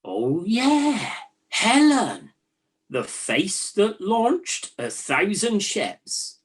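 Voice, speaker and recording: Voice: mid-range voice, very animated, extremely slow, slightly rough, exaggerated voice. A performer playing a bored, blunt Trojan soldier who teases a mate drily in a comic radio play. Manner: dry, mocking, matey banter.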